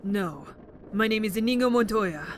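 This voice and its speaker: A woman's voice: mid-range voice, lively intonation, normal speed, natural voice. A woman voicing a nervous but wry, friendly teenage boy in an audio drama, hesitant and prone to stammering. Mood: wry, awkward. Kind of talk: fan audio drama acting. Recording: noisy ambience behind the voice.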